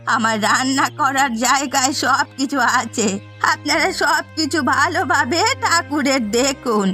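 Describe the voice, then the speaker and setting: High-voiced earnest cartoon villager. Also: female voice, measured pace, bright, forceful tone, soft background music. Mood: firm, resolute. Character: earnest village woman running a food shop. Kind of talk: fairy-tale cartoon dialogue.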